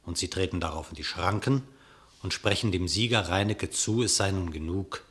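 A male narrator with a lowish voice tells a tale in verse for a radio drama. His delivery is declamatory and expressive, shaped as formal storytelling. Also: normal speed.

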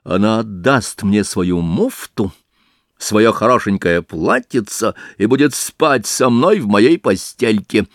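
A willful little robber girl from a fairy tale, as a male reader puts her on, speaks bluntly and insists on getting her way. The delivery moves lively in pitch but stays slow. It is a dry, close reading. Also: no room sound. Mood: demanding. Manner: blunt, headstrong, matter-of-fact.

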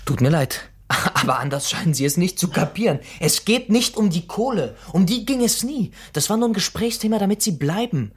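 An actor playing a young working-class blackmailer, radicalised and contemptuous, politely threatening and taunting in a naturalistic radio crime drama. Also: male voice, high voice, big pitch swings, brisk, husky, full character voice. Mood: taunting, heated.

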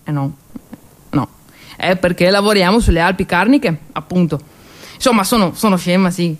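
A woman's voice: fairly low pitch, normal speed, close to an everyday voice. A woman performing a theatrical monologue as a cheerful, naive, motherly mountain peasant who carries wartime supplies, chatty and self-mocking. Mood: proud, artless. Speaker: solo stage actress.

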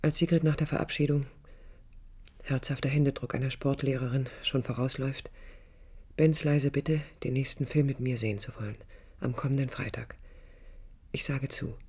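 An actress playing a wistful, jealous young dreamer in love in a 1980s radio play, speaking in a hushed, introspective way. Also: female voice, extremely low pitch, steady tone, normal speed, slightly rough, heavily acted. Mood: hushed longing. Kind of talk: 1980s radio play, intimate first-person narration. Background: music.

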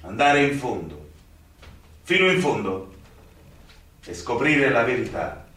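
Indignant young prosecutor, radio play, commanding, rhetorical, tense bright voice, distant miking with faint noise. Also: male voice, mid-range voice, very animated, slow pace, exaggerated voice. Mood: resolute. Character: stern, indignant young male magistrate. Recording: very echoey, distant, faintly noisy recording.

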